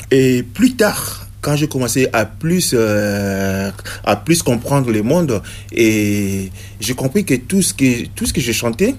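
Musicien congolais, congolais, parle en hésitant, beaucoup de euh, voix ni grave ni aiguë. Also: male voice, medium pitch, a little mellow. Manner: halting, many hesitations and restarts. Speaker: reggae musician guest. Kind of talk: interview answers.

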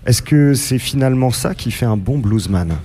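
A man's voice: mid-range voice, animated, normal speed, warm tone. A man who interviews a guest, reading an introduction and asking questions with many hesitations.

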